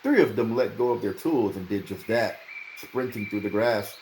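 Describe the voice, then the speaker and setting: Expressive male storyteller in an echoey room. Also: fairly low pitch, normal speed. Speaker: amateur audiobook reader. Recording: roomy echo, faint background noise.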